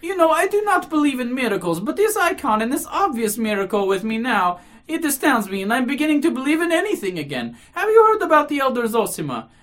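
A woman's voice: high-pitched, sing-song, fast talker, hardly any pauses, a bit bright, pitched above the performer's own voice. A woman voicing a hysterical, indignant, self-dramatizing society lady in a classic novel reading, exclaiming and rambling in agitation. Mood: agitated, astonished.

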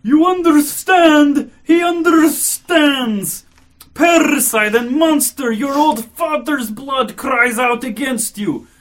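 A man's voice: extremely high pitch, slow pace, voice pushed up. A man voicing an outraged old male police commissioner, roaring and declaiming in a dramatic novel reading. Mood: raging.

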